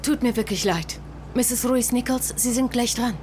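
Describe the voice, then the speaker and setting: An actress playing a polite, apologetic but insistent assistant in an audio drama. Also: female voice, on the high side, moderate pace, darkish tone, slight background noise, lightly acted. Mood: apologetic, urging. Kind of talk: scripted audio drama acting.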